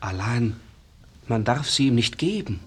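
A passionate young-hearted disciple in an old radio verse drama. He declaims with fervent grief, slow and weighty, in a husky voice. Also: mid-range voice, expressive. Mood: anguished denial. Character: passionate, grieving male disciple of a dying master.

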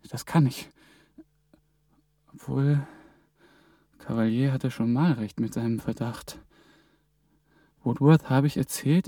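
An actor playing an anxious, alert young intern in a radio drama, muttering in clipped fragments. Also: male voice, mid-range voice, warm tone, no echo, studio quality, lightly acted. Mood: shocked, reasoning. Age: young adult. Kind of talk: radio drama acting with narrated inner monologue.

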